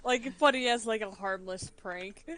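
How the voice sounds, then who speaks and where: Girl doing casual roleplay chat on a stream, mid pitch, bit of noise behind her. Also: normal speed, a bit bright. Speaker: role-play partner on a game livestream. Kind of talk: casual chat and improvised role-play narration. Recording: faint background noise.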